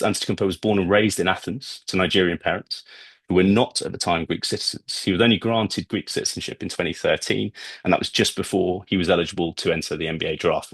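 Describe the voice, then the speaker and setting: A British man giving an academic talk, speaking in a halting, discursive way with asides. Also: deep voice, vocal fry, a bit bright, breathy edge, dry recording. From Britain. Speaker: guest lecturer, researcher of ancient history. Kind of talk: academic talk with slides, semi-scripted.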